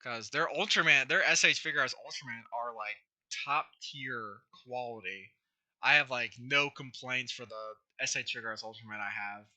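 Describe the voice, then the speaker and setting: Young male streamer, hoarse, very bright tone, casual rambling chat full of fillers. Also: medium pitch, moderate pace. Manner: rambling, many fillers and restarts. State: hoarse, scratchy throat. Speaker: YouTube creator hosting a livestream. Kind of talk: casual livestream chat answering viewers.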